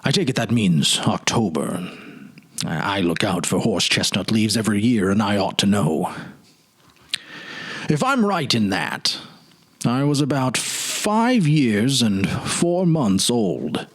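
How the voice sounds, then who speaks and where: Man voicing a grave, low, wistful man recalling memories. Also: sing-song, breathy edge, heavily acted. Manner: slow, grave, reflective. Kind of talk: dramatic literary story reading.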